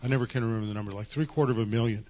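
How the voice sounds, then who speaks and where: A man giving an informal spoken explanation as a class participant, halting and searching for words. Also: lowish voice, fairly even intonation, a little mellow, highs cut off. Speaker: class participant adding comments.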